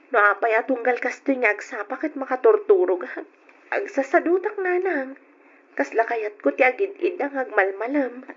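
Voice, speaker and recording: A woman's voice: fairly high pitch, fairly bright tone, natural voice. A young woman with an Ilocano accent playing an affectionate, questioning girlfriend in an old radio drama. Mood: drowsy, complaining. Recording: noisy, dull lo-fi radio recording.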